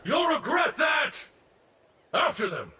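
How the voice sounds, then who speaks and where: A man's voice: extremely high pitch, huge pitch swings, slow, pushed and bright, raised voice, a little hiss or hum, heavily acted. A man playing a smug, vengeful enemy commander in a theatrical video game style, in a roomy echo. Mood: furious. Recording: roomy echo, music behind.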